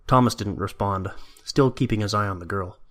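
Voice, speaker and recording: A man's voice: fairly low pitch, brisk, fairly bright tone. A man reading scripted story narration as the narrator of an audio drama.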